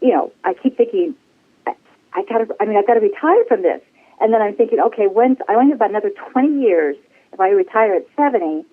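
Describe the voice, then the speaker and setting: A woman's voice: mid-range voice, animated, fast talker. Tinny phone audio, lady in her 60s rambling away chatty and effusive. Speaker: podcast guest, flight attendant. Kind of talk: casual chatty interview. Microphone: telephone. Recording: tinny phone-line sound.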